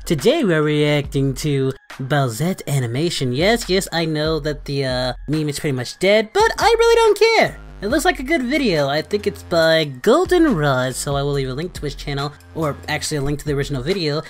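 Female actor as boyish cartoon fighter hosting reactions: excitable, goofy, theatrical, lower register, very animated delivery. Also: moderate pace, few pauses, soft background music. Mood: upbeat, chatty. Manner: theatrical, exclamatory, prone to squeals. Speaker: reaction video host. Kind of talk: casual excitable reaction commentary.

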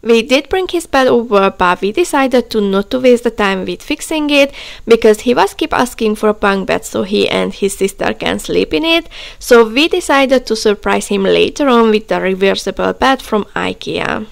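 Woman, thirties, calm vlog voice-over, gravelly. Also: on the high side, moderate pace. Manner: calm, slightly non-native grammar. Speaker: vlogger. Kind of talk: voice-over narration.

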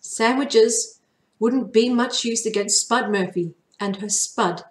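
A woman in her thirties, a teacher, reading a children's storybook aloud in an expressive, animated way. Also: fairly high pitch, slow. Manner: animated, acts out the dialogue. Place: small furnished room at home. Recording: echoey home room.

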